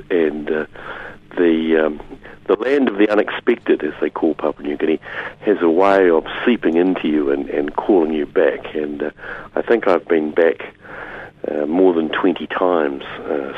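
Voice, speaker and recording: The kind of older New Zealand man who phones in to a radio show with droll yarns, deep-voiced, on a thin muffled line. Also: husky. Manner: wry, droll, self-deprecating humour. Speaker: interview guest, community development academic. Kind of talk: conversational storytelling interview.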